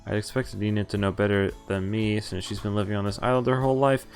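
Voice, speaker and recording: A man's voice: on the low side, little pitch movement, fast talker, creaky. Guy streaming a visual novel, reads lines out casually with a bit of acting, game music behind him. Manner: casual, lightly acting out dialogue. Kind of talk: reading a visual novel aloud with casual reactions.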